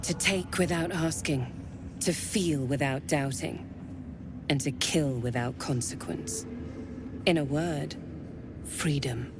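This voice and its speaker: An actress playing a seductive, menacing female commander of a dark cult in a video game, sultry and commanding in manner. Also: low voice, slow, long pauses, over music. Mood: seductive, reverent.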